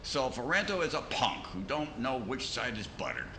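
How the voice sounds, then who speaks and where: A man's voice: mid-range voice, wildly swooping pitch, moderate pace, sharp tone, reverberant, a little hiss or hum, heavily acted. A man playing a sly, slangy mob boss in a 1950s-style radio crime drama, needling and smugly scheming. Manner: slangy tough-guy talk, needling.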